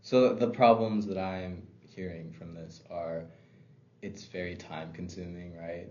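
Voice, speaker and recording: A man role-playing a sales representative making a pitch. He says he has been sick, and he hesitates with many fillers. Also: fairly even intonation, a little slow, warm tone. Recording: dull sound in an echoey room.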